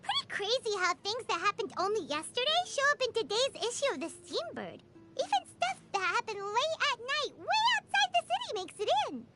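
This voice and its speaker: A woman voicing a tiny, chatty, childlike fairy companion in a video game, with a squeaky voice. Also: very animated, fairly quick, loud music behind the voice. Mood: curious, chatty. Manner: speaks of herself in third person, chatty.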